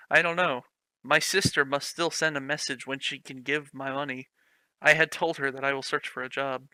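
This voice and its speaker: A man's voice: mid-range voice, bright, forceful tone, no room sound. A man reading a clumsy fan fiction aloud for laughs, mocking it, giggling and swearing freely between lines. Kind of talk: comedic dramatic reading with commentary.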